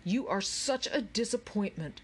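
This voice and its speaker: A woman's voice: mid-range voice, expressive, a little slow, slight background noise, pitched above the performer's own voice, natural voice. A woman voicing a bossy, impatient, scornful boy in a children's audiobook, snappish and grumbling. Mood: scornful, irritated.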